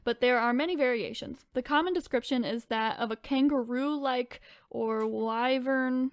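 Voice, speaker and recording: A woman's voice: fairly high pitch, normal speed, no echo. A woman co-hosting a podcast in casual chat, informal and chatty, sometimes reading out descriptions with asides. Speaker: podcast co-host.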